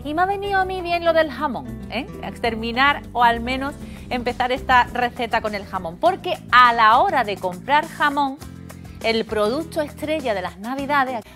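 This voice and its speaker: A woman presenter chatting live with a reporter, lively and enthusiastic. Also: sits high, normal speed, soft background music. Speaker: studio presenter.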